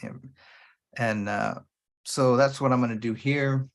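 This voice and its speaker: A man's voice: mid-range voice, steady tone, measured pace. Rambling, casual male podcast host. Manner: casual, unscripted, profane, jumps between thoughts. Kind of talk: rambling solo podcast talk.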